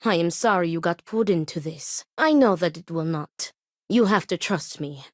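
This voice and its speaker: An actress voicing a grave, principled and devout woman in a video game, speaking in formal, measured, stern phrases. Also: fairly low pitch, dry recording, clean studio sound, lightly acted. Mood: solemn, earnest. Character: grave, principled woman acting as duel second, devout, weary. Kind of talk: video game character dialogue.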